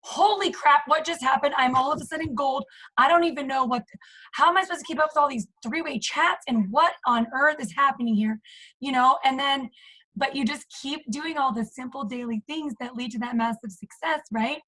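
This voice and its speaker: A woman with a hoarse, failing voice, chatting animatedly on a group call and acting out little quotes. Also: high voice, fairly quick, rough recording. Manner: animated, excitable, acts out quotes. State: hoarse, losing her voice. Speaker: team leader in direct sales. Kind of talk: casual group call discussion.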